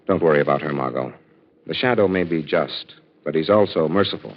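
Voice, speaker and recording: An actor playing a composed detective who explains a solved case in an assured, measured way, in 1940s radio acting style. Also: male voice, extremely low pitch. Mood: calm, reassuring. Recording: dull vintage 1940s radio recording.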